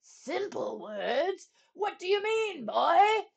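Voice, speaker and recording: A proud old king from a storybook, as a woman reader puts him on. He draws his words out slowly and swings into haughty bellows and groans, a blustering ruler who is helpless underneath. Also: extremely high pitch, big pitch swings, gravelly, pitched above the performer's own voice, exaggerated voice. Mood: indignant, haughty.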